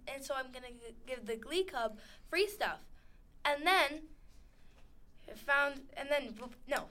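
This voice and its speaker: A woman's voice: high voice, fairly bright tone. Giggly rambling teen girl host. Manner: giggly, rambling, acts out characters. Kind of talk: casual chat. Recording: radio studio.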